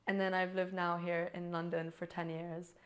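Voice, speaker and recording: Belgian-born woman, low-ish steady voice, plain factual delivery. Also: moderate pace. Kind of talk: documentary interview.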